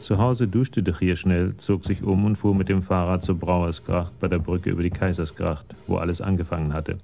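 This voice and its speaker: A man's voice: low-pitched, fairly even intonation, moderate pace, vocal fry, warm tone, soft background music. A man giving sober scripted narration as the narrator of a German radio crime story.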